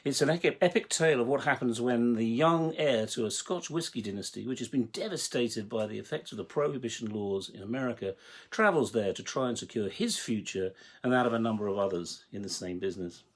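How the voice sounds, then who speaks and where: A man giving a prepared explanatory monologue as a writer and producer, speaking in a measured, articulate way with emphatic phrasing. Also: medium pitch. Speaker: writer and producer introducing his audio drama series.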